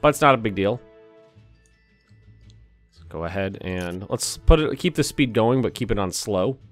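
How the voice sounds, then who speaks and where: Slightly hoarse man muttering through gameplay. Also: on the low side, expressive, unhurried, soft background music. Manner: thinking aloud, muttering, mild cursing. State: a little hoarse. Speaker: let's play host. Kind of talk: casual gameplay commentary.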